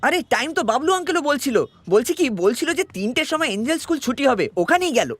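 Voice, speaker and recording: A man voicing an excitable, slightly bumbling detective sidekick in a cartoon, chatty and full of exclamations. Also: very high voice, quick pace, exaggerated voice. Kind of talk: animated cartoon dialogue.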